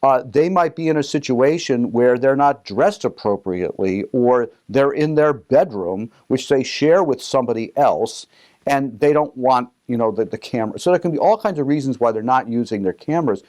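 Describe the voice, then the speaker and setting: A man's voice: mid-range voice, moderate pace, a little mellow. A man who works as a teacher, talking in an explanatory interview style. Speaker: teacher, faculty member.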